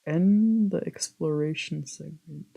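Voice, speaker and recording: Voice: mid-range voice, takes it slowly, vocal fry, warm tone, slight background noise, low-quality recording. A streamer giving casual, reactive let's play commentary on a visual novel, often muttering and exclaiming to themself.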